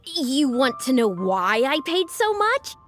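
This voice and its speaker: Game role: naive young woman chasing riches, high, breezy, highly animated, faint music. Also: slow pace, full character voice. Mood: breezy, eager. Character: naive, eager young woman bidder, money-minded. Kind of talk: video game voice acting.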